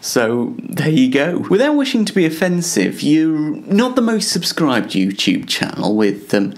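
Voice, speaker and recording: A man chatting casually as a web show host, halting and self-deprecating as he talks. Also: a little high, wildly swooping pitch. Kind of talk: casual self-interview chat.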